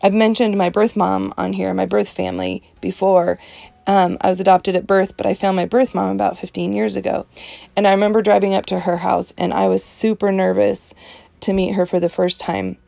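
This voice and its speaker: A woman's voice: medium pitch, level tone, moderate pace, creaky, warm tone, no echo. A woman hosting an art journaling video, chatting earnestly and emphatically about faith, with a strong voice and frequent laughs. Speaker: host of an art journaling channel. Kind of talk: casual devotional chat while art journaling.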